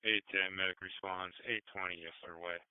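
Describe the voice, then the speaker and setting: Low monotone male dispatcher over tinny radio. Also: brisk, clean tone, dry recording. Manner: flat, procedural, clipped phrases. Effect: two-way radio. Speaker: fire and medical dispatcher. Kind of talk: terse emergency radio dispatching. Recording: narrow tinny police scanner radio feed.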